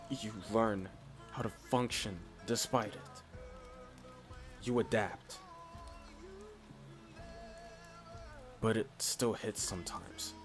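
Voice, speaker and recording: A man playing a blunt, steady, reassuring furry character in a scripted ASMR roleplay, speaking in short, deliberate phrases. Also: on the low side. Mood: somber, reflective. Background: music.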